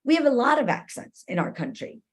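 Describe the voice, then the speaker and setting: A woman's voice: a little high, very animated, brisk. A woman giving advice as an interview guest, explaining conversationally and often checking in with the listener. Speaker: interview guest, cultural competency expert.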